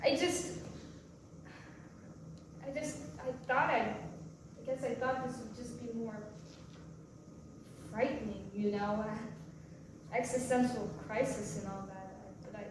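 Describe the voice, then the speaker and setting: A woman acting a distraught, alcoholic mother in limbo in a staged play reading, pleading for her children in a halting, stammering way. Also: a little high. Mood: subdued, dazed. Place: indoor performance space before an audience. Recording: echoey hall with faint noise.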